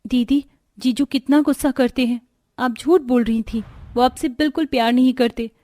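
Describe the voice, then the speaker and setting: A young woman voicing an emotional married sister in a cartoon family drama, with expressive delivery. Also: high-pitched, moderate pace, no echo, studio quality, lightly acted. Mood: wistful, troubled.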